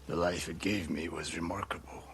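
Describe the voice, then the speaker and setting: An older man playing a stern, proud and regretful samurai archery master in a video game, speaking in grave, measured, terse phrases. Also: deep voice, steady tone, creaky, over music. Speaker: archery sensei. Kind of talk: dramatic video game acting.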